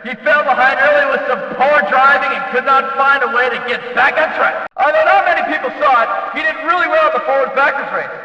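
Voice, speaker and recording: A man playing an excitable, folksy race announcer in a video game, commentating emphatically in a racing style. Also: extremely high pitch, quick pace, hardly any pauses, lo-fi sound, exaggerated voice. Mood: matter-of-fact recapping. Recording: echoey hall, distant from the mic.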